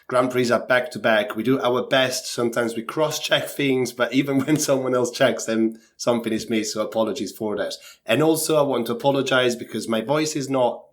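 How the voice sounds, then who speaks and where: A man's voice: medium pitch, fairly quick, hardly any pauses. Guy cohosting a skating news pod, sore throat, chatty and a bit hesitant. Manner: apologetic, hedging with fillers. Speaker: podcast co-host. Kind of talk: casual news chat.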